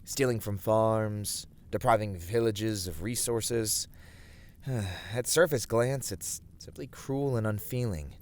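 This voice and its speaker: A man's voice: fairly low pitch, slow pace. A man playing an earnest, courteous fantasy adventurer in an ASMR roleplay, speaking in formal, thoughtful, slightly hesitant phrases. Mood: pensive, troubled.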